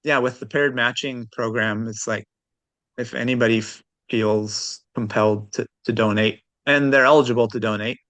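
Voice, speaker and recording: A man with a medium-pitched voice answers as a guest in a casual conversation. He is thoughtful and hesitant, feeling his way through each point and hedging. The recording is dry and close. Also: fairly even intonation, moderate pace, a bit bright, dry recording. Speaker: interview guest, transplant recipient.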